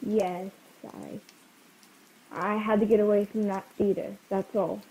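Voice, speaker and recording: A woman playing a frightened, secretive spy on the run in a radio play, pleading and urgent in halting phrases. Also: mid-range voice, level tone, mellow, close to an everyday voice. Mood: pleading, panicked. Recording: tinny phone-like video call, faint noise.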